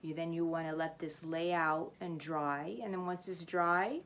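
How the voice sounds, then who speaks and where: Woman storyteller and teacher over clear background noise. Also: low-pitched, steady tone, unhurried, a bit bright. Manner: instructive, step-by-step explaining. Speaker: teacher reading a storybook and showing a craft. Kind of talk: read-aloud storytelling and casual how-to demonstration.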